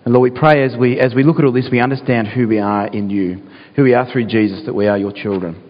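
A man with a croaky voice, earnest and pausing with fillers, praying and reading scripture as a pastor in a sermon. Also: mid-range voice, fairly even intonation. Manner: earnest, with frequent fillers and restarts. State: croaky, clearing his throat. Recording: dull sound, long church reverb.

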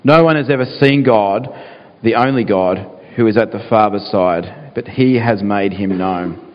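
A man with a croaky voice, earnest and pausing with fillers, praying and reading scripture as a pastor in a sermon. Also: on the low side. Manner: earnest, with frequent fillers and restarts. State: croaky, clearing his throat. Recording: dull sound, long church reverb.

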